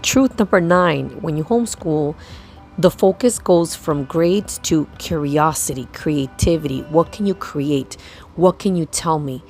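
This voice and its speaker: A low-voiced woman with noticeable vocal fry narrates a vlog as a mother and host. She speaks in short, emphatic phrases and throws in rhetorical questions, with music behind her. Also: unhurried, darkish tone. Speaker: homeschooling mother and video host. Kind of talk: scripted-sounding narration for a vlog.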